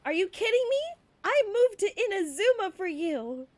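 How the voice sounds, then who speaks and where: A woman's voice: very high-pitched, sing-song, normal speed, a little mellow, no room sound, voice pushed up. A woman voicing an indignant, pleading spurned lover who confronts a partner, in an improvised soap-opera game roleplay.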